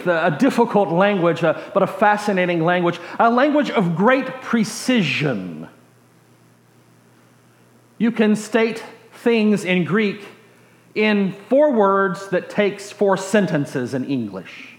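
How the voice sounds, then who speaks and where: An American man from Texas preaching through personal stories in a reflective, halting way with dry humorous asides. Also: sits high. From Texas, USA. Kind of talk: sermon storytelling. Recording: echoey room.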